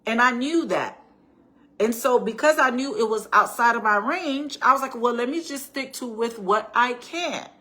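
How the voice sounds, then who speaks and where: A woman with a bright, forward voice and a hint of rasp talks loosely and personally to her viewers. She is middle-aged and chatty, with frequent restarts and check-ins like "right?". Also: a little high, normal speed. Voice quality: raspy at times. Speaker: faith and life-planning vlogger. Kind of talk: casual personal storytime talk.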